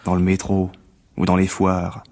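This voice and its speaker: A man's voice: deep voice, monotone, a little slow, a little mellow. A man acting in a French radio drama as a jealous, calculating rival, condescending, insinuating and smugly cruel. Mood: cruel gloating.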